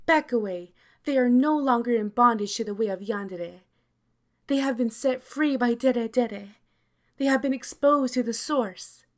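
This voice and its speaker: Woman doing a bossy holy guardian angel lady, high voice, formal and solemn, asmr roleplay. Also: measured pace, close to an everyday voice. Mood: commanding, solemn. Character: stern, pious female guardian angel.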